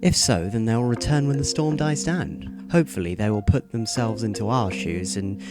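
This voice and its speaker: Man playing composed, low-voiced, polite schoolboy. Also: expressive, natural voice. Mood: composed, reassuring. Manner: measured, formal. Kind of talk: audio drama acting. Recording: loud guitar music under the voice.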